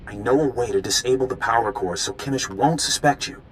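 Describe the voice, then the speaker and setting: A man playing an earnest, eager undercover informant in a video game, hurried and slightly awkward, with rumbling noise behind him. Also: natural voice, poor audio. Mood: urgent, confiding. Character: earnest, eager male rebel informant, secret agent.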